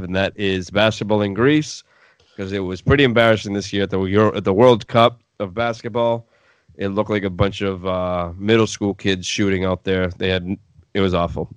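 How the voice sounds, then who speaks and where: A man's voice: deep voice, clear, resonant tone, no room sound. A man hosting a casual sports talk show, speaking in a blunt, opinionated way.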